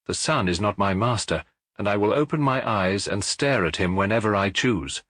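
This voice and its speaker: A British man voicing a haughty, fiery young modern woman in an audiobook, lofty, brisk and emphatic. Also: lowish voice, expressive, a bit bright, dry recording. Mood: lofty, defiant. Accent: British English.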